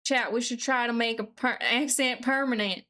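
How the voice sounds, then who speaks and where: A woman livestreamer chatting casually and reading aloud in an exaggerated, put-on deep Southern US accent, with a playful, folksy manner. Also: steady tone, moderate pace, bright, forceful tone. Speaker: live streamer.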